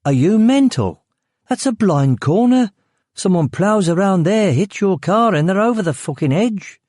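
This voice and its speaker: A man voicing a young, overweight male security guard from Birmingham, high and agitated, with a Birmingham accent. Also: animated, unhurried, darkish tone, dry recording, clean studio sound. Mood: exasperated.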